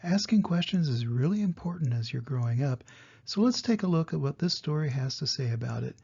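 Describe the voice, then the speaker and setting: Older grandpa guy reading a kids story, mellow husky voice, kinda gentle, bit of a dull home recording. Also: on the high side, lively intonation, a little fast.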